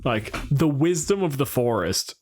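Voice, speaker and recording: A man's voice: mid-range voice, very animated, a little slow, darkish tone. A man with a mid-range voice co-hosts a casual podcast. He talks conversationally and argues his case, qualifying points as he goes. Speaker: podcast co-host. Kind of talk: casual chat about tabletop game monsters.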